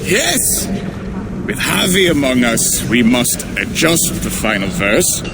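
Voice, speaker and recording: An actor playing a boisterous, melodramatic dwarf poet in a video game cutscene, with a theatrical, declamatory delivery over loud background noise. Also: male voice, medium pitch, very animated, slow pace. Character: boisterous, drink-addled dwarf poet, melodramatic yet mournful. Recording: loud ambient noise behind the voice.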